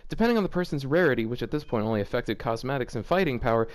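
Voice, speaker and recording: A man's voice: medium pitch, animated, racing. A man hosting a casual read-aloud with running commentary, sounding sardonic and exasperated. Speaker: host of a fan fiction reading series.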